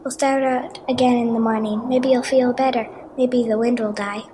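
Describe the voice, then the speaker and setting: A high, urgent girl's voice carries this storybook dialogue, put on by a female reader. She sounds determined and anxious, speaking with emphasis. There is some noise behind her. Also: level tone, normal speed, lightly acted. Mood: reassuring. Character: Jo, determined anxious girl protecting her family.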